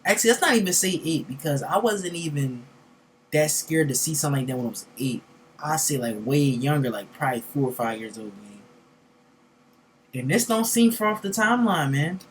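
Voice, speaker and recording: A man's voice: a little high, lively intonation, fairly quick, slight background noise. A young man giving casual, slangy, profane reaction commentary as host of a reaction video. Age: young adult.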